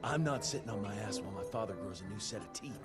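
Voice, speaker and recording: An actor playing a tough, hot-tempered young vampire hunter in a Wild West video game, speaking bluntly and impatiently. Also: male voice, on the low side, lively intonation, fast, natural voice. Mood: defiant. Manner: blunt, impatient, foul-mouthed. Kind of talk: video game cutscene acting. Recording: loud music behind. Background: loud music.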